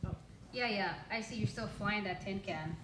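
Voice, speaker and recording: A woman's voice: medium pitch, normal speed, background noise, natural voice. A student actress playing a wry, game female ex-treasure hunter in an amateur radio play. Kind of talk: amateur radio theater acting.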